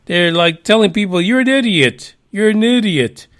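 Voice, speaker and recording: High-voiced man, profane and laughing. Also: animated, moderate pace, a bit bright. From United States. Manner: profane, laughs at himself, rambling asides. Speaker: podcast host. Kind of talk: casual news commentary.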